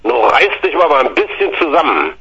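A man's voice: on the high side, sing-song, moderate pace, slightly rough, highs cut off, pitched above the performer's own voice, heavily acted. A man voicing a stern father who snaps at his son to behave. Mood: scolding. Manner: snapping, commanding.

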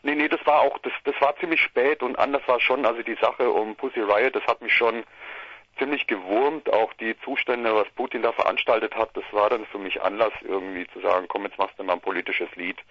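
A man's voice: fairly low pitch, steady tone, a little fast, no room sound. A middle-aged man from southern Germany, a musician chatting casually in a phone interview and rambling with many restarts. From Bavaria/Swabia, Germany. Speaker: musician guest. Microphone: phone line. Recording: muffled phone line, dry.

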